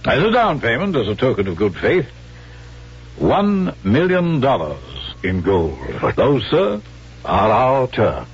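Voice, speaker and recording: A man acting a shrewd foreign arms dealer in a 1970s radio drama, with formal, drawn-out, condescending phrasing. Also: mid-range voice, big pitch swings, husky, full character voice. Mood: coolly insistent. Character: shrewd, smooth male foreign arms dealer, coolly mercenary. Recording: muffled vintage radio broadcast.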